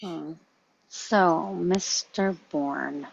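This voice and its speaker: A woman's voice: fairly low pitch, drawn-out words, gravelly, highs cut off, slight background noise, heavily acted. A woman playing a cocky young American male field officer in a film script table read, casual and offhand with authority. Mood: officious.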